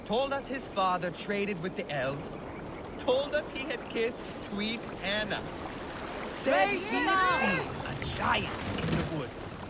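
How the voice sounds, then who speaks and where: A boastful Viking sailor from a game, telling earthy yarns in a fairly high male voice. His delivery swings up and down with teasing pauses, over heavy boat and water noise. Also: sing-song, exaggerated voice. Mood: amused storytelling. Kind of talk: leisurely storytelling in a video game. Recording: noisy boat and water ambience.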